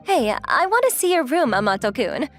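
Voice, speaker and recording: Young female model, anime dub, played by a woman: breezy, teasing, forward, on the high side with big animated swoops. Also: normal speed. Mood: playful, inquisitive. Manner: forward, playful, casually inquisitive. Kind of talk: anime-style dub acting. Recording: music behind the voice.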